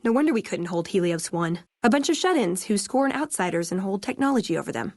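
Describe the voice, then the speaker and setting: Game companion role: young American woman, sardonic and friendly, conversational, mid-pitched, close dry studio sound. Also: big pitch swings, fast talker, darkish tone, dry recording. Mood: wry, conversational. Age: young adult. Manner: sardonic, playful, casual. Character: wry, friendly young woman scribe in a wasteland. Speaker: video game companion character. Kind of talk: scripted game dialogue, conversational.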